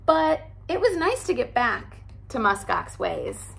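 A young woman, a teacher, reading a children's picture book aloud in an animated, expressive way at home. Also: on the high side, slow, vocal fry, rough recording. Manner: animated, expressive storytelling with character voices. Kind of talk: storybook read-aloud. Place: living room by a fireplace, indoors.

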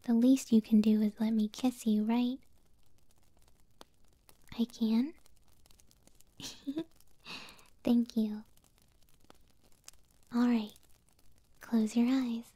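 A woman voicing a possessive, doting young moth-girl girlfriend in an ASMR roleplay, speaking softly, breathily and tenderly, close to the microphone. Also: fairly high pitch, fairly even intonation, no echo, heavily acted. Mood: mildly indignant. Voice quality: soft, breathy, whispery. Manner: slow, tender, teasing. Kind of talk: ASMR audio roleplay, intimate soft speech.

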